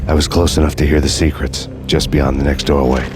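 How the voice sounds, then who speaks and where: Brooding hardboiled detective, extremely deep voice. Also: male voice, over loud music. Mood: brooding, wry. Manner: slow, grim, poetic deadpan. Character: brooding hardboiled male detective narrator, world-weary and wry. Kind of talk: noir scripted narration.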